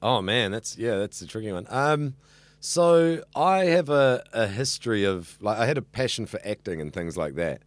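Kiwi guy in his 30s, deep voice, talks heaps, jokey, really dry sound. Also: no echo. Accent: New Zealand. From Auckland, New Zealand. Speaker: radio student being interviewed. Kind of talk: casual interview chat.

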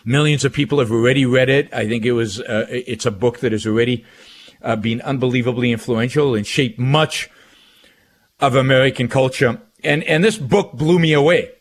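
A middle-aged man with an Israeli accent hosts a talk radio show solo. His pitch is medium for a man. He speaks emphatically, circling back to repeat phrases as he builds his monologue. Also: moderate pace. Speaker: guest radio host.

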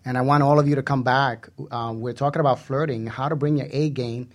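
A man's voice: medium pitch, steady tone, brisk. A man hosting a casual radio discussion, talking in an earnest, conversational way. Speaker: radio show host.

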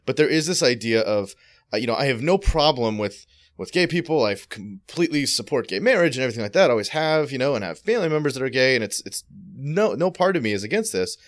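A man's voice: medium pitch, sing-song, fast. A man co-hosting a podcast in casual chat, frank and crude, rambling with frequent restarts. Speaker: podcast co-host.

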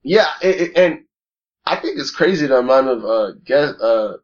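A man's voice: medium pitch, animated, normal speed. Profane, rambling man on a dull mic. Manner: loose, profane, many restarts and fillers. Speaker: podcast co-host. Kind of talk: casual chat.

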